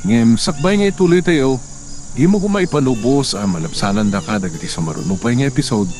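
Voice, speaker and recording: Guy doing a lively subscribe plug, voice bounces around. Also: mid-range voice, a little fast. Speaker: channel narrator. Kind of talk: promo announcement.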